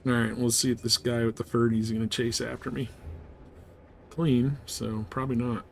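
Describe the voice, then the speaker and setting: A man live-streams a game with casual, offhand commentary. His voice sits at an average pitch as he thinks aloud and drops muttered asides to his chat. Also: little pitch movement, moderate pace, creaky, slight background noise.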